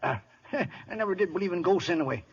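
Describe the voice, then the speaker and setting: An actor playing a folksy, talkative old country caretaker with a rural American accent in an old radio comedy-drama. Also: male voice, sits high, animated, a little fast, husky, full character voice. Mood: placating. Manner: folksy storytelling, hushing and chuckling. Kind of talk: 1930s-40s radio comedy-drama acting. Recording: muffled, hissy old radio broadcast.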